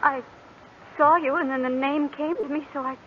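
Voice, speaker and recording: Lady acting sweet lost young blonde, high plaintive voice, muffled old radio recording. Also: steady tone, normal speed, a little hiss or hum, lightly acted. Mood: confused. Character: sweet, confused amnesiac young blonde woman. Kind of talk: 1940s-50s radio drama acting.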